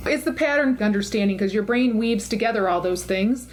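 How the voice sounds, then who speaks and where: A middle-aged woman hosting an interview, speaking in a calm, reflective way as she explains ideas. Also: mid-range voice, a little fast, slight background noise. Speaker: host. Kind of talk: casual interview chat.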